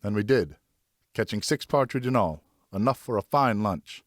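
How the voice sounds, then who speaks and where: Male audiobook narrator, low register, dry sound, scripted first-person storytelling. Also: lively intonation, normal speed, no room sound.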